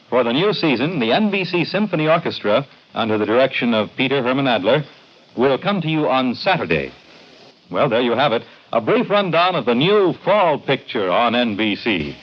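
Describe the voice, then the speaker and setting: A man delivering scripted announcements and narration in a vintage radio style. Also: mid-range voice. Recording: dull vintage radio recording, music behind. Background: music.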